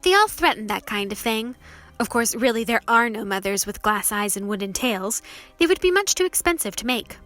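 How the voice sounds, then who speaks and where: A woman's voice: a little high, sing-song, normal speed, fairly bright tone, soft background music. A woman voicing a cheerful village girl in a storybook, breezy, teasing and unconcerned.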